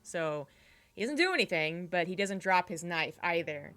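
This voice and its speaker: A woman's voice: lowish voice, animated, moderate pace, fairly bright tone. A woman narrating a tabletop horror game as game master, rambling with fillers and restarts.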